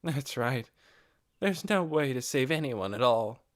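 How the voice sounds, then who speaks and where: A man voicing a bitter, guarded female lizard-person leader in a fantasy audiobook, speaking with soft, hesitant emotion. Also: mid-range voice, animated, unhurried, voice pushed up, close to an everyday voice. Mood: bitter, pained.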